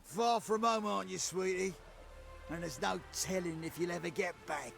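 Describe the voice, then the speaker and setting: A man's voice: sits high, moderate pace, creaky, a bit bright, soft background music, heavily acted. An actor playing a menacing, drunken male thug who taunts in a drawl in a video game. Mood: taunting, menacing. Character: menacing, leering male ruffian, a drunken bigot. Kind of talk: video game villain acting.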